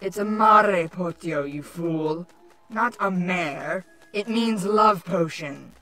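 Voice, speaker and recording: A woman's voice: lowish voice, takes it slowly, pushed and bright, soft background music. A woman voicing a haughty, irritable changeling queen villain, sardonic and scolding, in a cartoon-style fan audio drama. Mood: imperious.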